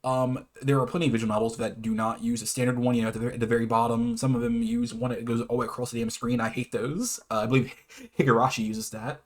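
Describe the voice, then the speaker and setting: A man's voice: mid-range voice, steady tone, fast. A man hosting an informal video explainer, half reading and half ad-libbing, often stumbling, correcting himself and chuckling between phrases. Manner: hesitant, stumbles over words and self-corrects. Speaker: YouTube host presenting his own definitions.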